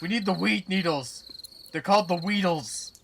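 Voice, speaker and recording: A performer plays a burnt-out cartoon sidekick with a frying, creaky voice. The delivery rambles like a slacker on the edge of desperation. Slight noise and clicks are behind it. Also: mid-range voice, normal speed, close to an everyday voice. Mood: desperate. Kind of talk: improvised cartoon parody dub.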